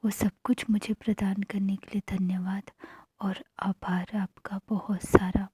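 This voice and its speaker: A woman narrating guided meditation affirmations in a hushed manner, pausing between short phrases. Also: medium pitch, level tone, breathy edge, no room sound.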